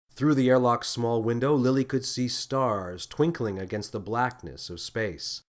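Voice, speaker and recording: A man narrating a scripted children's story in an expressive, deliberate storytelling manner. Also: fairly low pitch. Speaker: author and narrator.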